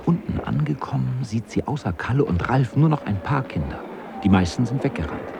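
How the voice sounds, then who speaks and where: A man's voice: medium pitch, expressive, a little fast, creaky, music in the background. A man narrating a scripted children's radio play as an observant storyteller.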